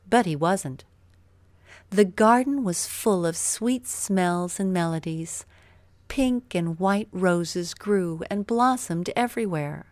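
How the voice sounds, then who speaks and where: Casting: female children's storyteller, slow delivery, lowish pitch with very animated melody, dry studio-close sound. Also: no echo. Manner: expressive, deliberate storytelling. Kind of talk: scripted children's story narration.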